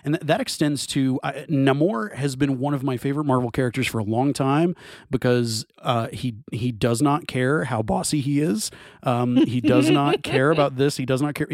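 An enthusiastic man talks in long, winding runs, restarting his sentences as the ideas pile up. His voice sits at an ordinary middle pitch. It is recorded close and dry, with no room sound. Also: moderate pace, darkish tone, no echo. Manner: enthusiastic, rambling with many restarts. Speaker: podcast co-host. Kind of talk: casual film discussion.

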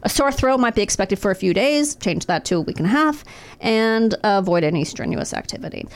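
A woman's voice: medium pitch, expressive, a little fast. A woman in casual chat reading medical information aloud with wry, profane asides, recovering from nose and throat surgery.

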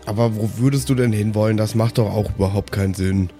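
Low-pitched male role-play player. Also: steady tone, quick pace, warm tone. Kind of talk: casual improvised in-character chat. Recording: background music under the voice.

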